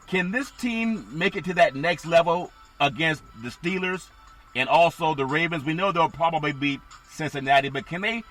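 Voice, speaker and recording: A man hosting a casual sports talk show, his voice a little scratchy and raspy, rambling through teams before turning to his co-host. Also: fairly high pitch, moderate pace, fairly bright tone, soft background music. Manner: rambling, asks co-host for thoughts.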